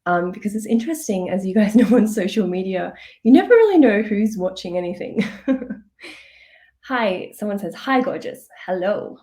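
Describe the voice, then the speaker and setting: A woman's voice: medium pitch, animated, moderate pace, mellow. A woman coach chatting casually on a live stream, bubbly and laughing often, a bit congested from a cold. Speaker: business coach.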